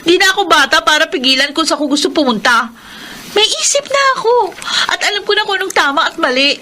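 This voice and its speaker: Isang babaeng aktres na Pilipina na gumaganap bilang mapait at rebeldeng labingwalong taong gulang na anak sa drama sa radyo, palasagot at mapanuya. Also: female voice, very high-pitched, lively intonation, fast, bright, forceful tone, slight background noise, heavily acted. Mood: angry, accusing. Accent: Filipino. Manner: confrontational, sarcastic. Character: bitter, rebellious eighteen-year-old daughter. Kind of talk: Filipino radio drama acting.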